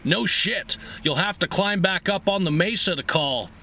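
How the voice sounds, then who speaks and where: A man's voice: sits high, moderate pace, sharp tone, slight background noise, voice pushed up. A man voicing an earnest young college athlete, the new boyfriend in a short story. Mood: urgent. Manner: sincere, earnest.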